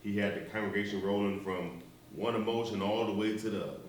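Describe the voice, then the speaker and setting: A man playing a grave, measured minister. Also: lowish voice, fairly even intonation, natural voice. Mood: storytelling. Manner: slow, measured and reflective. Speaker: stage actor. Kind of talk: stage play performance. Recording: echoey, distant stage recording, faint hiss.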